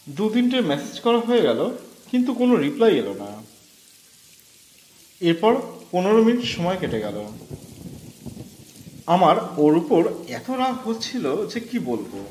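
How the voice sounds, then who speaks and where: Slow, expressive male storyteller with echo. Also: high-pitched. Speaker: audio story narrator. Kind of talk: scripted first-person horror story narration. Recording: echoey voice over background music.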